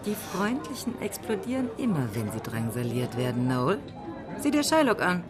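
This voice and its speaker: A woman's voice: fairly low pitch, big pitch swings, normal speed, music in the background, crowd noise. An actress playing an opinionated, methodical female amateur sleuth in a German radio play, declamatory and pedantic. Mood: emphatic, deducing.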